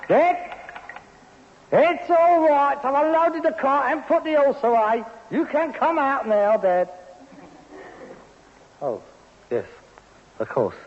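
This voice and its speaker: Sarcastic London rag-and-bone son, swooping high. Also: male voice, big pitch swings, slow, long pauses, long reverb tail, heavily acted. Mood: puzzled, then shocked. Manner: sarcastic, mock-impressed. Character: sarcastic, resentful rag-and-bone man, the old man's son. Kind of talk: 1960s British radio sitcom acting. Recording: noisy, dull vintage radio recording.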